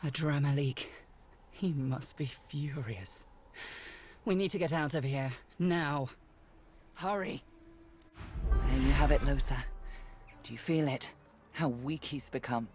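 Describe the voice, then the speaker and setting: An actress playing a stern, resolute woman guide in a video game, speaking with deliberate, emphatic weight. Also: low voice, darkish tone, slight background noise. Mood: urgent. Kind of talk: video game character acting.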